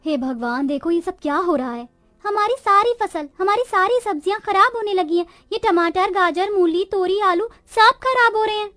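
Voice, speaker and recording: A woman's voice: very high voice, moderate pace, a bit bright, no echo. A woman voicing poor, resourceful village women in a Hindi cartoon moral story, with a dramatic, complaining manner. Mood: alarmed. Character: village women of a poor family, resourceful daughters-in-law and their mother-in-law.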